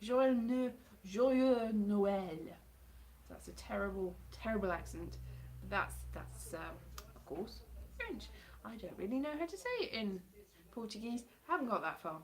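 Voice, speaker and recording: Woman, 30s, casual live-stream chatter into a close webcam, self-mocking and sarcastic, slightly high voice. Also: big pitch swings, unhurried. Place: home hallway by a staircase, indoors. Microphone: webcam or phone at close range.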